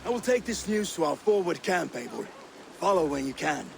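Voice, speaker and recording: Animated, earnest warrior comrade. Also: male voice, fairly high pitch, fairly quick, creaky, slight background noise, heavily acted. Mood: eager. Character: earnest, hopeful male warrior comrade, wryly defensive.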